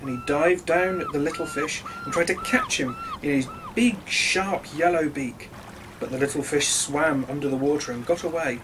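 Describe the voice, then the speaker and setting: Expressive, highly animated male storyteller. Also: noisy background. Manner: expressive, simple address to children. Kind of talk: children's storybook reading.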